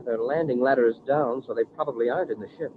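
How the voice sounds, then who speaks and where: A man's voice: mid-range voice, fast talker, noisy background, natural voice. A man playing an eager, deferential young space cadet in a 1950s radio adventure, with muffled old sound. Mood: alert.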